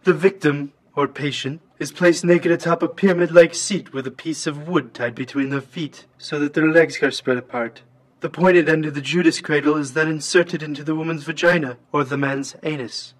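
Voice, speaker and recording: A man's voice: fairly high pitch, fairly even intonation, normal speed, natural voice. A man playing a pompous, formal supervillain prince in a scripted comedy, with a stilted robotic voice. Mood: earnest, grave. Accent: odd claimed Latvian. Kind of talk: scripted comedy character acting.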